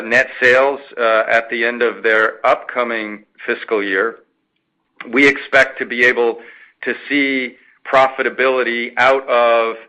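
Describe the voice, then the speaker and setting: A man, a company finance executive answering an analyst in a measured, halting way with frequent pauses and repeats. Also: mid-range voice, sharp tone, low-quality recording. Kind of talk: answering an analyst's question, semi-formal corporate talk.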